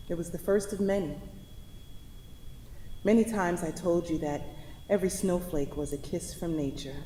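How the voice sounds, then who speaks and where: A woman's voice: lowish voice, warm tone. A woman playing a devoted wife tenderly and earnestly reading a farewell letter in a staged reading. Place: theatre hall. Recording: echoey hall with audible noise.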